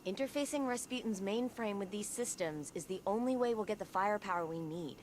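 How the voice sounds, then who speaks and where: A woman acting as a determined soldier and tech expert in a video game. She speaks earnestly and persuasively at a middle pitch. Faint noise and game effects sit behind her voice. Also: a little fast, a little mellow, natural voice.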